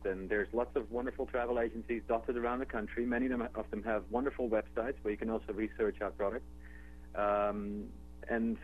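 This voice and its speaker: A man from the Netherlands with a Dutch accent, speaking as a guest in a radio interview in a relaxed, conversational way with fillers and restarts. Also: mid-range voice. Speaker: guest, travel company executive. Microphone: telephone. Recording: tinny phone line with faint hum.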